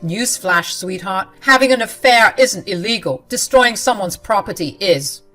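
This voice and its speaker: A woman voicing a furious husband caught cheating, shouting indignantly. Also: medium pitch, expressive, moderate pace, faint music behind, lightly acted, poor audio.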